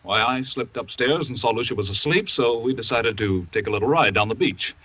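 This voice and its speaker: A man's voice: medium pitch, a little fast, husky, no echo, close to an everyday voice. An actor playing a man accused of poisoning his missing wife, sounding flustered and defensive in old-time radio drama acting. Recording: dull dry old radio recording.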